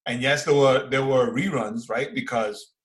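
A man, chatting casually and excitably as a podcast co-host, using slang and repeating phrases for emphasis. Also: mid-range voice, moderate pace. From Far Rockaway, New York, United States. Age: 40s. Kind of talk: casual fan chat, reviewing and reacting.

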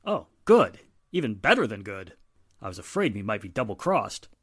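Woman playing a deep-voiced, methodical police inspector. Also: big pitch swings, moderate pace, husky, dry recording, studio quality, heavily acted. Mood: relieved. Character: male police inspector, steady, methodical, earnest. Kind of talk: 1940s radio drama acting.

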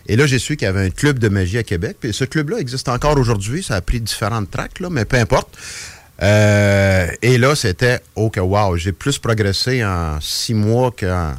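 A man's voice: fairly low pitch, normal speed, dry recording. An older man with a Quebec French accent telling anecdotes in a casual, jokey radio chat, often interrupting himself and wandering off. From Trois-Rivières, Quebec. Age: 50s or older. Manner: rambling, jokey, animated storytelling with self-interruptions. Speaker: guest magician. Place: dry radio studio.

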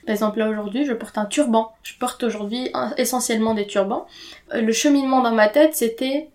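A woman's voice: fairly high pitch, fairly quick, darkish tone. A young woman whose native language is Arabic, talking candidly and informally about her own life as a podcast guest, with slang and the odd English word. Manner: informal slangy talk with occasional English words. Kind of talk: candid personal account in casual conversation.

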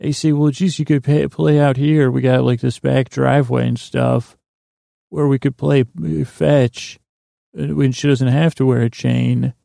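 Gravelly, mellow man rambling through a monologue. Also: mid-range voice, fairly even intonation, no room sound, studio quality. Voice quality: gravelly, creaky. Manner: halting, meandering, constant false starts and self-interruptions; slips into imagined dialogue. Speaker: podcast host. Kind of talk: rambling first-person comic monologue.

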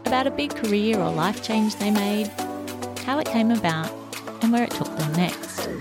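A woman hosting an interview show, reading a scripted introduction and asking questions in a clear, measured way. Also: mid-range voice, expressive, over loud music. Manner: clear, measured presenter delivery. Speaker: podcast host.

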